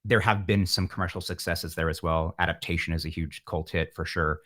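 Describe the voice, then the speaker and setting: A man speaking casually as an interview guest, with an animated, emphatic and playful way of talking. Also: sits low, fairly quick. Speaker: podcast guest, musician.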